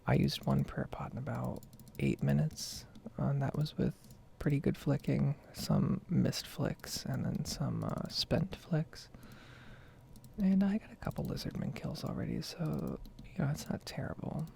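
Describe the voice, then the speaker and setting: A woman speaking in a soft, breathy whisper, rambling casually in an ASMR style as she comments on her own gameplay. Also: very deep voice, measured pace, a little hiss or hum. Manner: casual, meandering. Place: basement at home.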